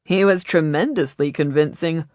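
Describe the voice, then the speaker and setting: A woman's voice: sits low, normal speed, darkish tone, no room sound, studio quality, pitched below the performer's own voice, close to an everyday voice. A woman voicing a teasing young man, wry and playful, in an audiobook. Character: cheeky, teasing young male companion.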